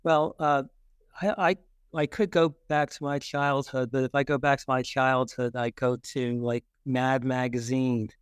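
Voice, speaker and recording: A middle-aged man talking casually as an interview guest, halting as he thinks aloud. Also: mid-range voice, little pitch movement, clean tone, no echo, studio quality. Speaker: guest, children's book author.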